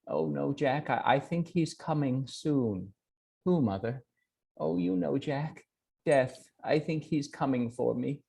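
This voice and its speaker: A man voicing a frail, sickly old mother in a spoken folk tale, with soft, halting speech. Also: mid-range voice, animated, gravelly, breathy edge, no room sound. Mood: weak, resigned. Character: frail old mother, sick then revived.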